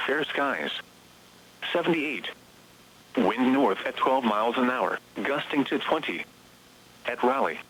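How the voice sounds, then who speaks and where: A man's synthetic voice reading scripted automated weather bulletins in a flat, measured way, as heard on a weather radio broadcast. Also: medium pitch, breathy edge. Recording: weather radio broadcast, slightly muffled sound.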